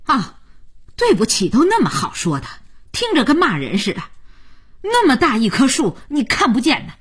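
A man putting on a shrill feisty old granny. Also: wildly swooping pitch, moderate pace, slightly rough, exaggerated voice. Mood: indignant. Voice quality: shrill high falsetto. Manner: indignant, quarrelsome, won't back down. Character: feisty stubborn old grandmother. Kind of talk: audiobook novel reading.

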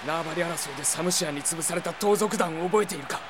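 Man acting high-pitched vengeful young fighter. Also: fast, background noise, natural voice. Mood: vengeful, furious. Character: hot-headed young avenger, vengeful younger brother. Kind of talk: Japanese drama CD acting.

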